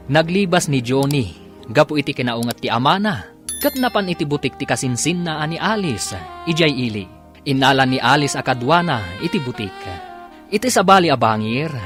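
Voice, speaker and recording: A male radio drama announcer with an Ilocano accent reads scripted narration in a dramatic style. His medium-pitched voice moves in wide, lively sweeps over faint music.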